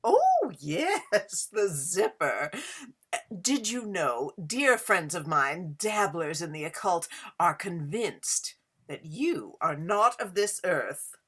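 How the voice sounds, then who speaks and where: A woman's voice: lowish voice, big pitch swings, takes it slowly, a bit bright, breathy edge, full character voice. A woman playing a flirtatious Gilded Age society hostess in a period play reading, speaking in an affected, coy and theatrical way. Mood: flirtatious, teasing. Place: indoors, remote online reading.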